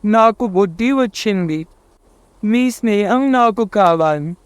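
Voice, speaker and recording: A woman's voice: medium pitch, lively intonation, takes it slowly, smooth, dry recording, voice pushed down. A woman voicing a young male squirrel in a children's animal story, in a plain, simple manner. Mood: apologetic. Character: lazy, later humbled young male squirrel, Sunny.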